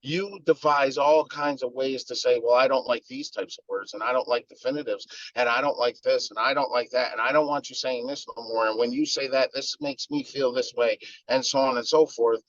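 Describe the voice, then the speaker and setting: A man's voice: medium pitch, fairly even intonation, a little fast, hardly any pauses, a bit bright, dry recording, lo-fi sound. Heated man ranting in casual chat.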